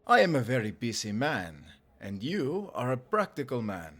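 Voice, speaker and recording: A man's voice: medium pitch, wildly swooping pitch, moderate pace, a bit bright, exaggerated voice. A man voicing a prim, cultured, snobby warlock in an audio roleplay, speaking formally and precisely in a posh manner. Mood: haughty, composed. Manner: formal, posh, precise diction without contractions.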